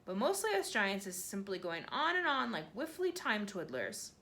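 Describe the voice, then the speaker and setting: A woman voicing a huge, very old and kindly male giant who jumbles his words with eager, excitable made-up phrases in a storybook reading. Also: medium pitch, moderate pace, exaggerated voice. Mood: earnest, explaining. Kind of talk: storybook read-aloud.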